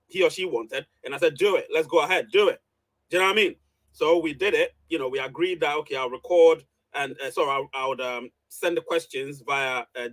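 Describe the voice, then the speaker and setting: A man hosts a live online commentary show in a fairly high voice. He speaks straight to his followers. His delivery is emphatic, and he often repeats phrases to drive his points home. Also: a bit bright, rough recording. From Ghana.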